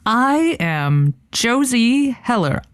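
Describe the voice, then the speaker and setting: A woman's voice: a little high, very animated, drawn-out words, smooth, no echo, clean studio sound, heavily acted. A woman playing an eager, inexperienced late-night radio host who rambles and stammers with chirpy politeness in an audio comedy. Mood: flustered. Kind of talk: audio comedy drama acting.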